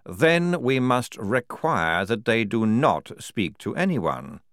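A man's voice: medium pitch, sing-song, slow, fairly bright tone, dry recording, studio quality, voice pushed up. A man voicing an irritable, commanding army general in an audiobook, speaking in a curt, authoritative way. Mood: curt, displeased. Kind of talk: audiobook character dialogue.

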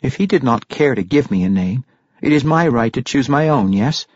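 A man voicing a defiant, quick-witted young boy thief who gives terse, sarcastic replies in an audiobook story. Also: medium pitch, expressive, no echo, muffled recording, pitched above the performer's own voice, lightly acted. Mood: wry, firm.

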